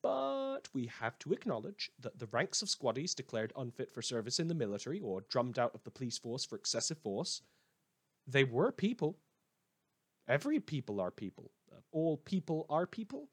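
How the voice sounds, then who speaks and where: A man playing a pompous, self-important British radio news host who rambles with mock earnestness in a comic monologue. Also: medium pitch. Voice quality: prone to coughing and choking.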